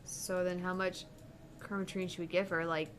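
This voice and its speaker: A woman's voice: on the low side, level tone, moderate pace, slight background noise. A woman gaming streamer chatting casually through a playthrough, joking and swearing freely. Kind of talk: casual playthrough chat with read-aloud game dialogue.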